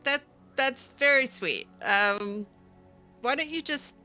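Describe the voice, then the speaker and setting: A woman's voice: high voice, slow pace, bright, forceful tone, soft background music. A woman roleplaying her character aloud in a game, talking back to the characters in a hesitant, giggly way, full of ums and restarts.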